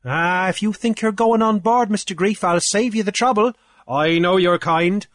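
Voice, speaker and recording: A man's voice: sits high, moderate pace, a bit bright, no echo, studio quality, pitched above the performer's own voice. A man voicing a pompous, drunken male beachcomber official who blusters in a lilt. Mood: blustering. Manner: bombastic, wordy, theatrical.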